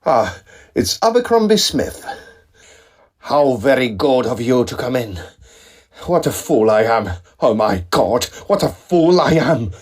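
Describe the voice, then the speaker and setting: A man playing a nervy, morbid young Egyptologist in an audio drama, halting and over-polite, with a husky voice. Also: medium pitch, very animated. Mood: shaken. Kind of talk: acted audio drama dialogue.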